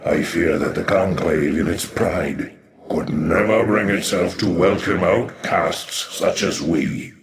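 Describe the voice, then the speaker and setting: Ancient dark alien warrior, bottomless voice, slow portentous pacing, proud and brooding game role. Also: male voice, deep voice. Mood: proud, sombre. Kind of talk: dramatic video game voice acting. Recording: echoing, reverberant game voice processing.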